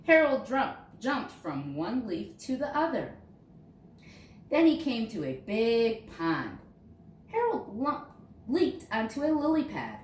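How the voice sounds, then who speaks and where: A woman reads a picture book aloud to young children in an expressive way, dramatizing key words. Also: a little high, slow. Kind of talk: storybook read-aloud. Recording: roomy echo, distant mic, faint noise.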